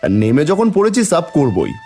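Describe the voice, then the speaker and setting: A man's voice: a little high, huge pitch swings, brisk, mellow, faint music behind. A man playing an earnest, fretful, hardworking village father in a cartoon family drama. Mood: hopeful, determined.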